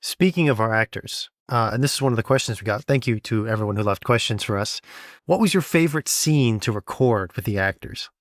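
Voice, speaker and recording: A man's voice: mid-range voice, fairly quick, no echo. Man explaining casually, dry close sound. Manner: informal, explanatory. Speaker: podcast host and audio drama sound designer. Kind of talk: casual podcast talk, explaining and advising.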